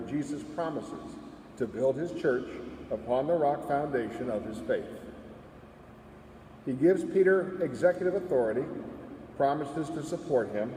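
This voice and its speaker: A man who serves as a church's senior warden, reading a sermon in a measured, emphatic way with rhetorical questions. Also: a little high, warm tone, slight background noise. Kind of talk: read sermon. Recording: echoey church from far off.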